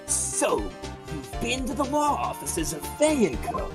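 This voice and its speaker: A woman's voice: on the high side, very animated, a little slow, over music, voice pushed up. A woman voicing a cocky male defense lawyer in a courtroom game, theatrical, triumphant and taunting. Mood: accusing.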